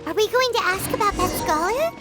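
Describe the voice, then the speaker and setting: A woman voicing a tiny, excitable childlike fairy companion in a video game, squeaky and speaking of herself in the third person. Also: brisk. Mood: curious, puzzled. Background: music.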